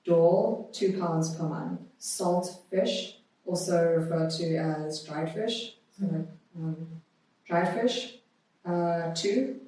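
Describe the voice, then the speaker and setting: A woman's voice heard in a strongly reverberant room, some distance from the microphone. She has a soft, mellow tone and speaks as a podcast guest, measured and deliberate, as in conversation or reading aloud. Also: fairly low pitch. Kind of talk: conversational interview, reading a historical notice aloud.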